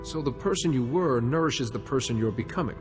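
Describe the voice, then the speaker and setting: An actor playing a steady, wise Jedi in a video game, speaking in a calm, measured manner. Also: male voice, mid-range voice, lightly acted. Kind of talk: video game cutscene acting. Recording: long reverb, music underneath.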